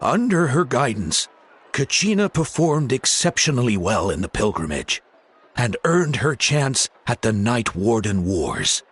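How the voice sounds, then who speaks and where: A man playing a genial, theatrical storyteller in a video game, speaking in a measured, dramatic way with deliberate pauses. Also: medium pitch, sing-song, a bit bright, husky, exaggerated voice. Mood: dramatic, reverent. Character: genial male storyteller, theatrical, fond of children.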